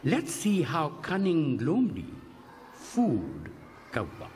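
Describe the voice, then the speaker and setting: A man's voice: fairly high pitch, huge pitch swings, slow pace, a little mellow. A man narrating a rhyming children's story in a sing-song, scripted way. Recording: studio narration over music score.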